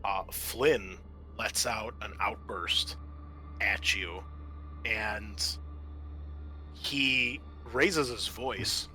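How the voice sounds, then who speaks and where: A man narrating a tabletop roleplaying game as game master, informal and halting as he gropes for words. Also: on the low side, sharp tone, faint music behind.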